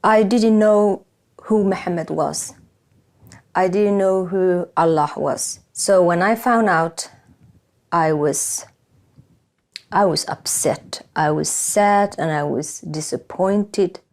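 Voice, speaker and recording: Low mellow woman with Somali accent. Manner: halting, repeats words, non-native English. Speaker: interviewee, activist. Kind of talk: interview testimony.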